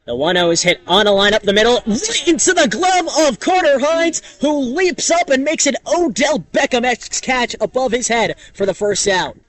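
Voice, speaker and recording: Young man, high voice, radio baseball play-by-play, dramatic delivery. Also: expressive, fairly bright tone. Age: young adult. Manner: dramatic, drawn-out calls on big plays. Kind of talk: radio sports commentary.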